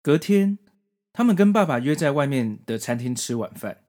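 Man storytelling with animated, swinging pitch. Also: normal speed. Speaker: podcast host. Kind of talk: scripted narration of manga story and commentary.

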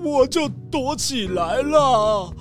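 Timid, very slow-speaking butler played by a woman. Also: sits high, animated, over music. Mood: pained, whimpering. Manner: hesitant, halting, whimpering. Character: timid, ailing male butler, meek and loyal. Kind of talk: children's detective radio drama.

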